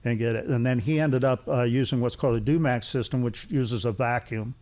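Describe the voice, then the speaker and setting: A middle-aged man talks as a guest in a casual technical interview. His voice sits at a medium pitch, and he explains things haltingly, circling back and repeating himself as he goes. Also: a little mellow. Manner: halting, rambling explanations with repetition. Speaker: interview guest, loudspeaker engineer.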